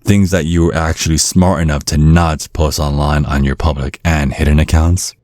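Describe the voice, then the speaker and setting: A man acting a menacing, courteous old vampire father in an ASMR roleplay, speaking in measured, slyly threatening tones. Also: extremely low pitch, flat delivery, darkish tone, dry recording, studio quality. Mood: smug, courteous. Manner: measured, veiled threats under courteous small talk. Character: menacing ancient vampire father, polite, sly and controlling.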